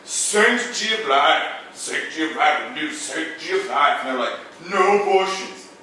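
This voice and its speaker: A man's voice: fairly high pitch, animated, moderate pace, pushed and bright. Young man rambling in an echoey room. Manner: rambling, profane, tipsy, laughing asides. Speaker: storyteller in a drinking game. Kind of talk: casual chat, rambling storytelling. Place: room indoors with friends. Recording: very echoey room, mic far off, faint noise.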